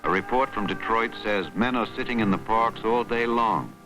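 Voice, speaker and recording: A man narrating a historical documentary in a solemn, dramatic, measured newsreel style. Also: lowish voice, poor audio. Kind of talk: scripted historical documentary narration, mid-century newsreel manner. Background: music.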